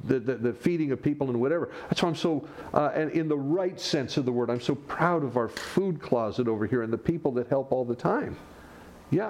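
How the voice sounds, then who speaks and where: Animated male preacher with lively pitch. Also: a little hiss or hum. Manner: animated preaching, rhetorical questions, sudden pitch swings. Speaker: pastor. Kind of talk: sermon.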